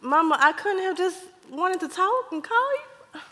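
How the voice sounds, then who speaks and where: A woman's voice: extremely high pitch, sing-song, unhurried, pushed and bright, full character voice. An actress playing an exasperated, confused American wife confiding in her mother on stage, with emphatic, dramatic pleading. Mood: defensive, troubled. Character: exasperated, confused grown daughter and frustrated wife. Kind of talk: stage play acting. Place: live stage in a reverberant hall. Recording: echoey theater hall.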